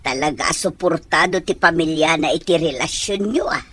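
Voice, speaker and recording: An actress playing a probing, outspoken middle-aged mother with a husky voice in a radio drama. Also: deep voice, a little slow, fairly bright tone, full character voice.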